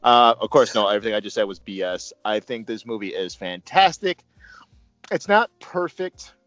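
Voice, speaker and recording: Deep-voiced man chatting casually about movies. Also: lively intonation, moderate pace, fairly bright tone, no echo, dull audio, faint music behind. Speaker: movie review host. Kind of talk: casual conversational movie review.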